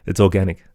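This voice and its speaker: A man's voice: deep voice, warm tone, dry recording, clean studio sound. A middle-aged man talking casually as an interview guest, in a hesitant, self-effacing, rambling way. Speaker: podcast guest, audio storyteller and producer.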